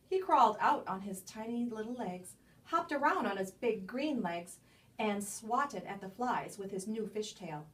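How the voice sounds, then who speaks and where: A woman reading a children's picture book aloud as a storyteller, with expressive, emphatic delivery and dramatic pauses. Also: fairly high pitch. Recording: distant microphone.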